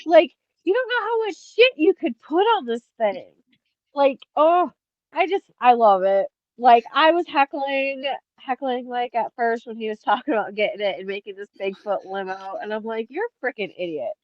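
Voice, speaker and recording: A woman co-host chatting eagerly, her voice nasal and blocked up from allergies. She sits a little high in pitch, and the sound is dry and somewhat muffled. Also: normal speed, no echo. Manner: excitable, peppers speech with "like". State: stuffy nose from allergies, nasal. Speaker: podcast co-host. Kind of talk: casual chat.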